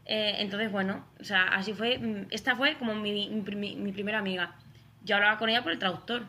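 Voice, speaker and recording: Bright-voiced young Spanish woman. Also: mid-range voice, steady tone, a little fast, slight background noise. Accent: Spain. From Spain. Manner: chatty, lots of fillers and restarts. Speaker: guest. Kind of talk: casual chat.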